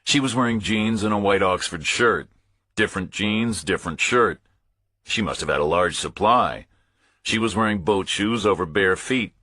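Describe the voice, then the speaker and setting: A man's voice: lowish voice, moderate pace, pushed and bright, breathy edge, no echo. A man reading a first-person thriller audiobook as a scripted narrator, in terse, clipped phrases.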